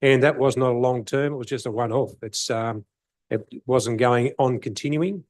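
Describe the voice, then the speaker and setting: Halting, rambling Australian councillor. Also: male voice, mid-range voice, darkish tone, no room sound. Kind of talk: council meeting debate.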